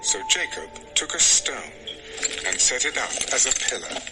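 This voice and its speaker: Expressive male scripture narrator over music. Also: medium pitch, a little slow.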